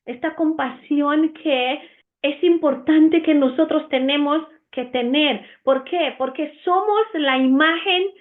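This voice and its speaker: Female Bible teacher, Italian accent, Romanian-born, high voice, tinny phone-like audio. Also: slow pace. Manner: earnest, with frequent "eh" fillers and restarts.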